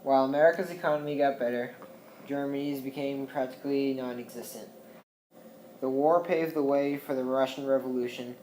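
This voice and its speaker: A young student reading the closing part of a history class project in a steady, plain manner. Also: mid-range voice, fairly quick. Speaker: student presenter. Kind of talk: reading a school project narration. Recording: roomy classroom with faint background noise.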